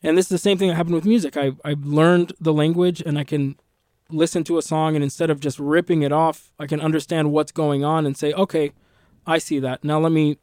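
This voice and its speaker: A man with a fairly high voice, a native Arabic speaker, speaks reflectively in an interview, often restarting phrases and adding "you know". The sound is dry and close. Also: darkish tone, no room sound. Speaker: musician guest. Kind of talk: interview conversation.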